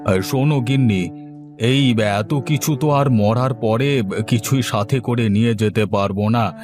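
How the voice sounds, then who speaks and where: A man's voice: medium pitch, unhurried, music in the background, lightly acted. A man playing a kindly older village householder in a cartoon fairy tale, with a folksy, emotive delivery. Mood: wistful.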